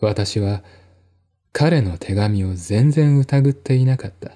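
A man's voice: fairly low pitch, slow pace, mellow. A man narrating a mystery story in the first person, as a dramatic literary reading. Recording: music behind the voice.

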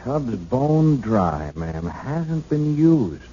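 An actor playing a kindly, plain-spoken beat cop, husky and halting, with folksy grammar, in a 1970s radio drama. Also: male voice, mid-range voice, very animated, dark tone, slight background noise. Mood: awkward, soothing. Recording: dull, band-limited 1970s radio recording.

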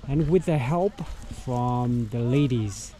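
A man with a Vietnamese accent, a tour guide vlogging casually while trekking outdoors, speaking halting English and searching for words, recorded on an action camera. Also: medium pitch, background noise. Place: outdoors on a mountain trail. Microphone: action camera carried along.

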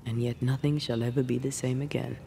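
Game role: resentful female ghost, breathy and creaky, measured archaic delivery, faint rumble behind. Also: steady tone, warm tone. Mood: solemn. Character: brooding, resentful ghost of a young woman. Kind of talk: dramatic game voice acting.

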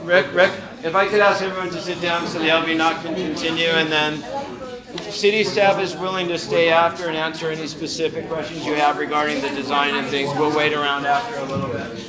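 City staffer, man, big carrying voice, slightly high, heard from a far camera in a roomy gym. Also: little pitch movement, fairly quick. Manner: projects his voice loudly without a microphone. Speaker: city project staff at a community meeting. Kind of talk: public meeting discussion. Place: large echoey community gym. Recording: echoey gym with crowd chatter.

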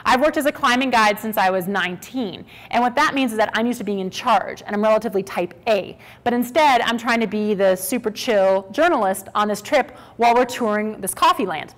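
A woman in her thirties from Minnesota, giving a lively, conversational stage talk to an audience. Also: on the high side, brisk, hardly any pauses. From Minnesota, USA. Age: 30s. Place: small stage with brick wall backdrop.

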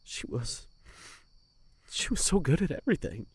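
A man acting a lovesick young captain in a fantasy audio drama, withdrawn and full of guilt. His voice is husky and swings widely in pitch. He speaks haltingly and rawly, recorded close and dry. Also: sing-song, darkish tone, no echo. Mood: grieving, tender. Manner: hesitant, emotionally raw, halting.

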